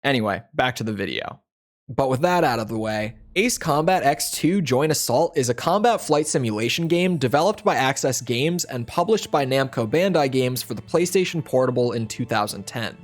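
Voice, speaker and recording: A man reading a scripted video essay voiceover as a game reviewer, slightly hoarse, explaining in a steady, upbeat way. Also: medium pitch, normal speed. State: slightly hoarse, near losing his voice.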